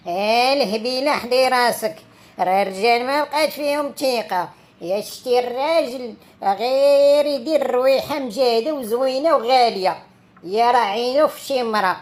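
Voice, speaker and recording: A woman's voice: fairly high pitch, close to an everyday voice. A woman playing a nosy, gossipy new neighbour in a comic cartoon, with a Moroccan accent and an insinuating manner. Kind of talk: comic cartoon dialogue in dialect.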